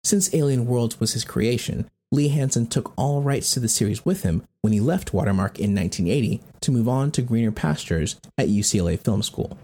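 A man's voice: mid-range voice, expressive, a little fast, few pauses, dark tone, slightly rough. An American man hosting a podcast, reading scripted narration. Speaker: podcast host.

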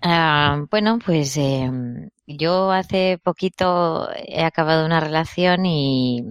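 Female podcast guest, deep voice, Spain accent, casual and wry conversational delivery. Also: slow pace, smooth, no echo. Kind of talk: casual chat.

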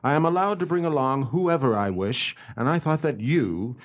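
A man playing a formal, self-assured gentleman detective in a radio play, speaking in a deliberate, theatrical way. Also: mid-range voice, natural voice. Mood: courteous, businesslike. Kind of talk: radio play acting with first-person narration.

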